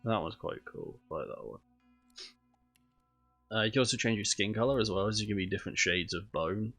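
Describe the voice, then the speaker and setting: A man's voice: fairly low pitch, brisk, a bit bright, faint music behind. A man with a cold and a stuffy, nasal voice, chatting casually through a video game while streaming, thinking aloud with lots of ums.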